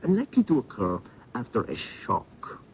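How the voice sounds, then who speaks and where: An actor playing an earnest, inquisitive doctor in a radio mystery, speaking formally and deliberately. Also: male voice, medium pitch, huge pitch swings, a little mellow. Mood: clinical, grave. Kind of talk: 1970s radio drama acting. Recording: dull, noisy old radio broadcast.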